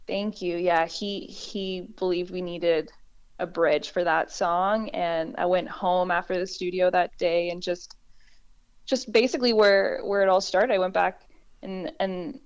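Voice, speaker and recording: A woman singer-songwriter with a low voice, talking through how she wrote a song in a relaxed, reflective way with frequent restarts. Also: unhurried, clear, resonant tone, dull audio. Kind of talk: conversational interview.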